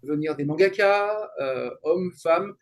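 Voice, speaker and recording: Higher-voiced man explaining his work haltingly. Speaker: interview guest, translator and event coordinator. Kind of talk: casual conversational interview.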